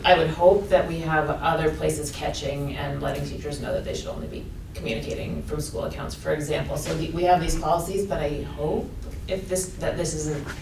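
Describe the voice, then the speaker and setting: A woman board member speaking at a school board meeting, halting and piling up clauses. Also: low-pitched, steady tone, vocal fry. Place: meeting room. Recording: echoey room, distant mic, some noise.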